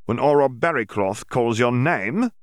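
Dude playing smug sneery auror boss, barks orders, kinda loud. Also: male voice, mid-range voice, expressive, measured pace, no echo, clean studio sound, voice pushed up, natural voice. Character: smug, brusque middle-aged male Auror chief.

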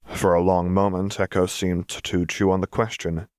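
A man's voice: low voice, little pitch movement, normal speed, darkish tone, clear, resonant tone, dry recording, clean studio sound. A man reading fiction aloud as scripted audiobook narration. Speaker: audiobook reader.

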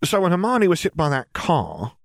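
A man's voice: on the high side, wildly swooping pitch, normal speed, gravelly, dry recording, studio quality, voice pushed up, heavily acted. A man voicing a hesitant, worried father who stumbles into his questions. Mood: puzzled.

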